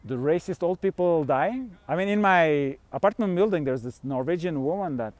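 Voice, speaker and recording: Chatty middle-aged man, slightly high voice. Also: animated, normal speed, no room sound. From Switzerland. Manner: rambling, candid, with chuckles. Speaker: fasting coach and vlogger. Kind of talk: casual vlog monologue.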